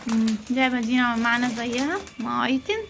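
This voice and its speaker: Fast-talking, high-voiced Egyptian woman, noisy recording. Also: steady tone. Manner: chatty, explaining, prompting a child. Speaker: mother co-hosting a family unboxing vlog. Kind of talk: casual vlog commentary to viewers. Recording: clearly noisy home-video recording.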